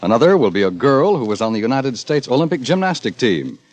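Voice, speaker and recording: A man's voice: medium pitch, big pitch swings, fast talker, darkish tone. A man reading a scripted promotional announcement in 1950s radio announcer style.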